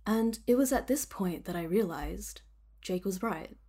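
A woman with an Australian accent reading scripted documentary narration in a measured storytelling manner as the host. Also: mid-range voice, lively intonation, dark tone, slightly rough.

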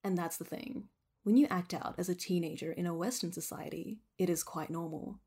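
A woman with an Australian accent reading scripted documentary narration in a measured storytelling manner as the host. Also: lowish voice, mellow, husky.

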